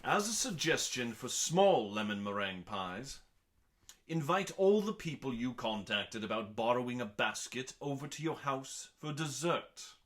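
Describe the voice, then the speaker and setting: A male host delivers scripted text as mock drama. His voice sits at a middling pitch with a bright, forceful edge. He takes his time, leaving theatrical pauses and sounding grandly self-important. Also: sing-song. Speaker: host reading comedic cookbook recipes.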